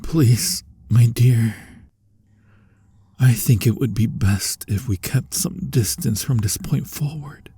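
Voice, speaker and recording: A sorrowful undead vampire played by a man murmurs through an intimate ASMR drama. His voice is very husky and breathy, with a gravelly creak and a soft, dark tone. He pleads formally and tenderly, often sinking into whispers. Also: mid-range voice, slow pace, full character voice. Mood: pleading, hushed. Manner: formal, pleading, melancholy phrasing. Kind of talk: ASMR roleplay, dramatic monologue.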